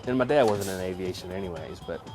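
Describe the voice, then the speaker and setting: Halting man chatting in his garage. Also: low-pitched, expressive, over music. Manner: halting, many restarts and fillers. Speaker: interviewee, engineer building a kit plane. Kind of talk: casual interview talk. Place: home garage.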